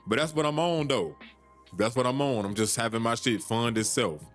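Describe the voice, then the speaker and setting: A man's voice: medium pitch, moderate pace, a bit bright, soft background music. A man in his early thirties hosting a podcast, giving casual money advice in a loose, slangy way, with many fillers and restarts. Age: 30s. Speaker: podcast host. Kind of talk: casual finance talk.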